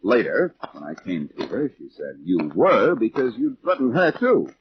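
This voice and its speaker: An actor playing a confident, wisecracking amateur detective with a breezy, assured manner in an old-time radio drama. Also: male voice, lowish voice, sing-song, normal speed. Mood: assured, needling. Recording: muffled, noisy old radio recording with clicks.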